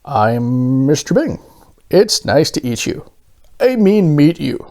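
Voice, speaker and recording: Guy playing awkward sheepish storybook man, stammers a lot, mellow everyday voice. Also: on the high side, big pitch swings, slow, heavily acted. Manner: hesitant, stammering. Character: sheepish, stammering ordinary man, a doughnut eater. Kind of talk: children's storybook character voice.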